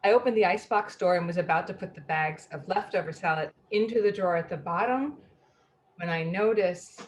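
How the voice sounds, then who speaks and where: A woman's voice: fairly low pitch, lightly acted. An actress playing a fretful, fragile and slightly odd middle-aged woman in a play reading, hesitant and stammering. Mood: overwhelmed, fretful. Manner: anxious, halting, self-pitying. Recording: noisy room recording with ambience.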